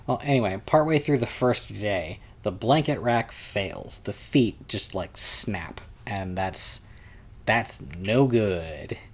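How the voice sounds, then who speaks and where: A young man with a loud voice telling a long, rambling convention story at home, often restarting his sentences. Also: lowish voice, slow pace. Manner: rambling, self-interrupting, chatty. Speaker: vlogger recounting a convention. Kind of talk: casual storytelling vlog.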